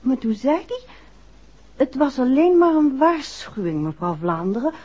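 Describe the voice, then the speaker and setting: A woman's voice: high-pitched, very animated, unhurried, warm tone, slightly rough, exaggerated voice. A woman acting a detective's shaken but stubborn, affectionate wife in a 1960s radio drama, hesitant and insistent by turns. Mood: recounting, uneasy. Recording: muffled old 1960s radio recording.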